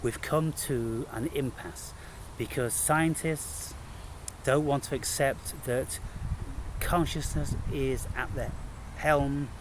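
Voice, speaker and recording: Male spiritual vlogger, unhurried and deliberate with emphatic pauses, recorded with noticeable ambient noise. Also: medium pitch. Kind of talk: unscripted spiritual monologue to camera.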